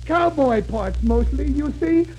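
Silly-ass English gentleman, eager and chirpy, extremely high voice, on a noisy vintage radio broadcast. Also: lively intonation, unhurried, lo-fi sound, full character voice. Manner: foppish, silly-ass chatter. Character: silly, chirpy English gentleman suitor turned film actor. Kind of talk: 1930s radio comedy acting.

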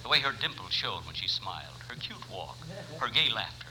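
A wry surgeon telling his love story in a lowish, mock-dramatic voice. It is scripted old radio comedy with clear noise. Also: sing-song, a little fast. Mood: wry, smitten. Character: male surgeon wryly narrating his own romance. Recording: noisy old radio recording.

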